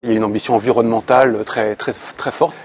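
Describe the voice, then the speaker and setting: A man's voice: medium pitch, fairly even intonation, quick pace. A man giving casual interview testimony as a building design professional, colloquial and often repeating words. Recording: noticeable ambient background noise.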